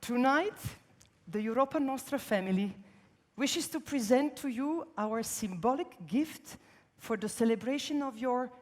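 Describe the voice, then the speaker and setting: A woman's voice: fairly high pitch, animated, measured pace. Poised woman presenter in a theatre. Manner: poised, addressing guests on stage. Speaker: ceremony presenter. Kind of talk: formal ceremony presenting. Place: large theatre stage. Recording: long hall reverb from a theatre stage.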